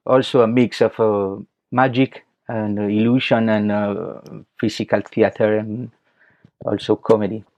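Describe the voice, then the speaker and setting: A man from Chile with a Chilean Spanish accent, speaking haltingly and searching for words as a guest in a casual interview. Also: on the low side, flat delivery, a little mellow. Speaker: podcast guest, circus clown and comic actor. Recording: dull lo-fi audio.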